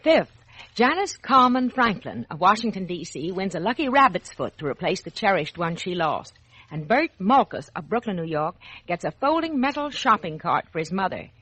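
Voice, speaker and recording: A woman's voice: on the low side, sing-song, normal speed, fairly bright tone, breathy edge, rough recording. An American woman hosting a scripted 1940s children's radio show, addressing children like a storyteller, on an old radio recording.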